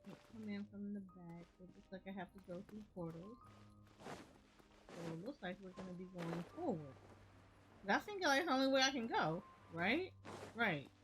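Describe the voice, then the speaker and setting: A woman's voice: medium pitch, lively intonation, moderate pace, low-quality recording. A woman streamer giving casual play-by-play commentary, half talking to herself as she plays a video game. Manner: talks to herself, muttering reactions while playing. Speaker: gaming streamer. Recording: game music behind the voice.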